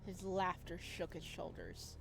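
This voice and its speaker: A woman's voice: lowish voice, level tone, unhurried, vocal fry, music in the background, poor audio. A woman reading a visual novel aloud as dramatic narration for a let's play.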